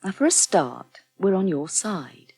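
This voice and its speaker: Radio soap: supportive, plain-speaking counsellor woman, earnest and fierce, with a rough-edged animated voice. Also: on the low side, measured pace, exaggerated voice. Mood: reassuring, earnest. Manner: earnest, plain-spoken, firm. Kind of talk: British radio soap acting.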